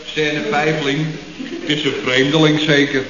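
Wry office colleague, played by a man, fairly high voice, chatty and teasing, old echoey radio comedy sound. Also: flat delivery, measured pace, lightly acted. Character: teasing male colleague gleefully narrating the boss's blunders. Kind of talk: radio comedy serial acting. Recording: hissy, dull, echoey old radio recording.

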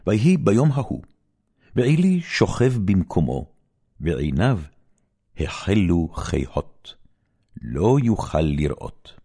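A man giving a solemn, measured, dramatic reading of scripture as an audiobook narrator. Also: sits low, very animated, mellow, slightly rough.

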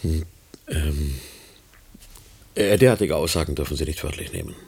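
An actor playing a guarded, formal medical researcher in a German radio play, gravelly and measured, with some hesitation. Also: male voice, rumbling low, darkish tone, full character voice. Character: guarded, evasive medical researcher questioning a woman.

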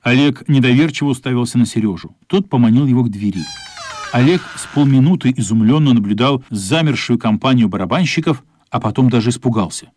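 A man's voice: medium pitch, a little fast, a little mellow, no echo. A man reading scripted storytelling narration for a radio play. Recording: music playing behind the narration.

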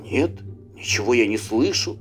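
A blunt young storybook traveller, played by a male narrator, scornful and suspicious. His speech moves in lively swings of pitch, over faint music. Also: normal speed. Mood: dismissive.

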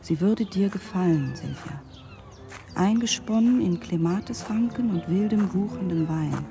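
An actress playing a gentle, dreamy letter voice in a German radio drama, reading lyrical descriptions. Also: female voice, fairly low pitch, expressive, unhurried, creaky, music in the background. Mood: wistful, lyrical. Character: gentle, dreamy woman voicing a letter home.

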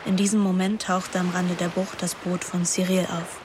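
A woman's voice: on the low side, level tone, normal speed, noisy background, natural voice. An actress playing a wilful, moody teenage girl who narrates her summer intimately in a radio drama. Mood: reflective. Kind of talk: first-person radio drama narration and dialogue. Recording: music behind the voice.

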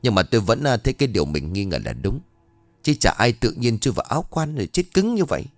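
A man voicing an older village fishmonger woman who gossips and scolds in brash, quick market talk. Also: medium pitch, sing-song, no echo, faint music behind. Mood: gossiping.

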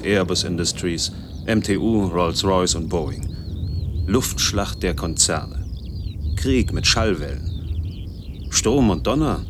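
Deep-voiced, brooding middle-aged man. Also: noisy background. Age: 40s. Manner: brooding, clipped fragments, literary phrasing. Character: lonely, noise-obsessed man in his mid-forties, bitterly brooding. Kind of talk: radio drama monologue.

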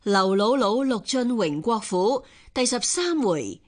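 A woman's voice: medium pitch, animated, a little slow, faint music behind. A woman formally reading scripted radio drama titles as an announcer.

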